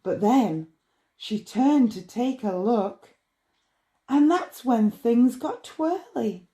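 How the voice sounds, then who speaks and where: A woman reading a rhyming bedtime story in a measured, expressive way. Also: fairly high pitch. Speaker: storybook reader.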